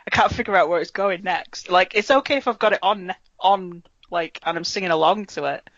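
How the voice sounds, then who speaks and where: A woman's voice: lowish voice, a little fast, fairly bright tone, no echo. A woman co-hosting a fan podcast, talking in a plain, matter-of-fact way. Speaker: podcast co-host. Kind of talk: casual fan chat. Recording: dull, dry lo-fi audio.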